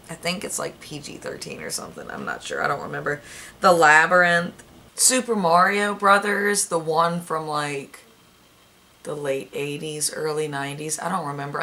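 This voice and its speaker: A young woman with a raspy voice, chatting casually and gushing about her favorite movies, thinking aloud as she goes. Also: deep voice, bright, forceful tone. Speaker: vlogger.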